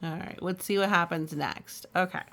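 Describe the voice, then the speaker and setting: A woman's voice: fairly low pitch, fairly even intonation, normal speed, a bit bright, slight background noise. A woman reading a story aloud, with reassuring, musing, chatty asides as if to children. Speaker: storytime reader.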